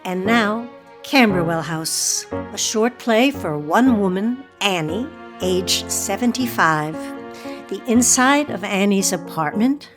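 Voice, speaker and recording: Slow woman announcer over music. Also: medium pitch. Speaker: announcer introducing a play. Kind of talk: scripted narration. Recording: music playing behind the voice. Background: instrumental music.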